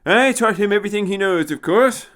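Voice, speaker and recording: A man's voice: high voice, fairly quick, fairly bright tone, pitched above the performer's own voice. A man voicing a crotchety, proud old male time traveller in a narrated science fiction story. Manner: huffy, boastful.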